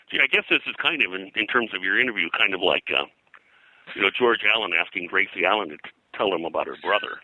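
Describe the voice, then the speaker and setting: Older male interviewee, low-pitched and forceful, digressive raconteur reeling off names and anecdotes. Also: no echo, rough recording. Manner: digressive, name-dropping storyteller. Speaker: pop culture historian and guest. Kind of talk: rambling anecdotal interview talk.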